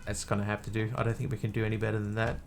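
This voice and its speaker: A man's voice: fairly low pitch, level tone, very fast, slight background noise. A man with a mixed Australian and New Zealand accent, chatting casually as a game streamer and answering his chat. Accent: Australian-New Zealand hybrid. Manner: rambling, easygoing, answers chat.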